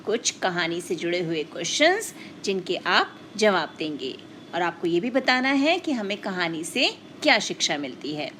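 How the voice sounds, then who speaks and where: A woman's voice: lowish voice, sing-song, moderate pace, fairly bright tone, slight background noise. A woman teacher telling a moral lesson to children in a didactic way, explaining and putting questions straight to her listeners. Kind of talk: scripted storytelling lesson addressed to children.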